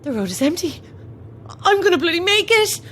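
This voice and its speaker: A woman's voice: very high-pitched, big pitch swings, moderate pace, a bit bright, soft background music, heavily acted. A woman playing a flustered, self-dramatizing struggling actress who rambles theatrically to herself in an audio drama. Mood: desperate. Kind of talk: modern audio drama acting.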